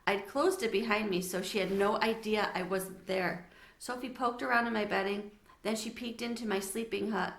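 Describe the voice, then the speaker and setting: A woman narrating a children's story aloud in an expressive read-aloud style. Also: medium pitch, moderate pace, creaky, echoey room, slight background noise.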